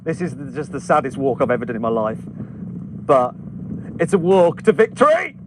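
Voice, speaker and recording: A man with an English Midlands accent hosting a car vlog outdoors on a runway, excitable and laughing often. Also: medium pitch, normal speed, a little hiss or hum. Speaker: car reviewer and host.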